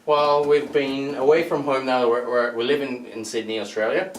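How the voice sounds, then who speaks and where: A man answering interview questions in an earnest, halting way. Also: medium pitch, steady tone. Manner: halting, earnest, many restarts. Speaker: interview guest. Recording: echoey room from a distant mic.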